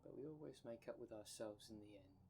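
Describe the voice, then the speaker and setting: A man's voice: lowish voice, quick pace, dark tone, slight background noise, natural voice. A man playing a blunt, cheeky dream demon, slangy and teasing, in an ASMR roleplay drama. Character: blunt, cheeky dream demon, bickering half of split creature.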